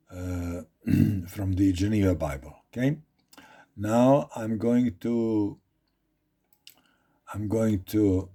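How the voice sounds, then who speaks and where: A man with a Croatian accent talks slowly through a Bible study, dwelling on each phrase and repeating himself as he reads. His voice sits low and mellow, with a husky edge. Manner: slow, deliberate, repeats phrases while reading aloud. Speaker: Bible teacher. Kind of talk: informal Bible study talk.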